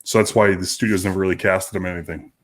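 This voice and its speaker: Low voiced podcast dude, cusses constantly, keeps restarting sentences. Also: male voice, steady tone. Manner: heavy swearing, halting restarts. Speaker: podcast co-host. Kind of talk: casual chat.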